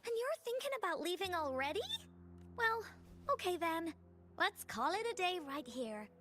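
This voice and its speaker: A woman voicing a spirited little girl storyteller in a video game, with a childlike voice and a playful, expressive manner. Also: very high-pitched, moderate pace, a little hiss or hum, full character voice. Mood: playful, encouraging.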